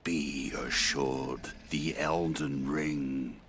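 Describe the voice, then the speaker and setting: An actor playing a weary old warrior king in a game cutscene, grave, noble and gravelly, declaiming in archaic phrases. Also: very deep voice, little pitch movement, drawn-out words, fairly bright tone, a little hiss or hum, exaggerated voice. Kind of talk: dramatic game cutscene acting. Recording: faint game ambience behind.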